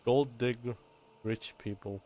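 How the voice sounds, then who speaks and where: A man giving casual live commentary on a game stream, halting and swearing freely. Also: medium pitch, steady tone, warm tone.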